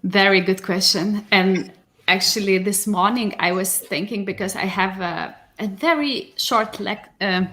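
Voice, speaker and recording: A Lithuanian-born woman guest speaking English as a second language. She answers in a thoughtful way, pausing to search for words and laughing lightly. Her voice sits at a middle pitch. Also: a bit bright. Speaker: podcast guest, company executive. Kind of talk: casual interview answers.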